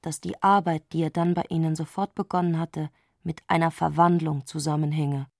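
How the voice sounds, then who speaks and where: A woman narrates a literary radio play. Her voice sits on the low side for a woman. The recording is dry, with no room around the words, and she reads as a scripted storyteller. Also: steady tone, normal speed, creaky, no echo.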